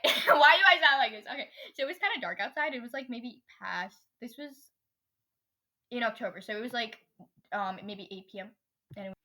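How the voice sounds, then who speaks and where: A woman's voice: on the high side, bright, forceful tone. A young teenage girl co-hosting a podcast, telling a story in a casual, slangy way and often interrupting herself. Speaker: podcast co-host.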